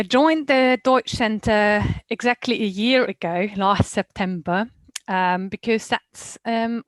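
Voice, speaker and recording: Slow-speaking woman from northern Germany. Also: on the high side, no echo. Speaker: German teacher, former social worker. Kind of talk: panel self-introduction.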